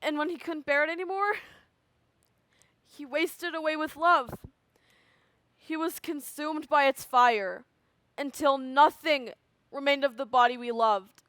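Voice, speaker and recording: Girl doing a sad lovesick nymph monologue, high voice, slow dramatic phrasing, really dry sound. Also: fairly bright tone, no room sound. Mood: mournful. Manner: dramatic, drawn-out, sighing delivery. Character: grieving lovelorn young nymph, solemn and wistful. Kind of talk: theatrical monologue.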